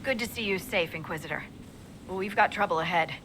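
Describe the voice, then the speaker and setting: A woman's voice: lowish voice, brisk, noisy background, lightly acted. An actress voicing a wry, practical scout who briefs her leader matter-of-factly. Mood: dry. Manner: dry, matter-of-fact. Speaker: scout reporting to the Inquisitor.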